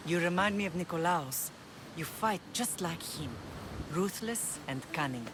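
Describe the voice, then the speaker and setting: Low-voiced, proud mother and island ruler. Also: lively intonation, unhurried, noisy background. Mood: tender regret. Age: middle-aged. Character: proud, resolute mother who rules a Greek island. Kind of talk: dramatic game cutscene acting. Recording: music behind the voice.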